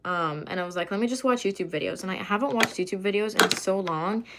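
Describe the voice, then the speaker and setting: Woman streamer, relaxed and rambling, chats with viewers while doing her makeup at home. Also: mid-range voice, fast, noisy background. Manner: chatty, rambling, many fillers and asides. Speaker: livestreamer answering chat. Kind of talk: casual livestream chat while doing makeup.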